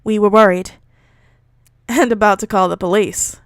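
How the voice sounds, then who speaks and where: A woman's voice: medium pitch, steady tone, normal speed, no room sound, close to an everyday voice. Female reader as friendly, worried male pal in an audio story; casual, emphatic, dry sound. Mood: relieved concern. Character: concerned, friendly man, relieved pal.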